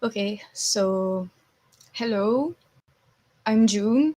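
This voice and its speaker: A woman's voice: mid-range voice, drawn-out words, warm tone. A woman interviewing a guest, asking questions a little hesitantly.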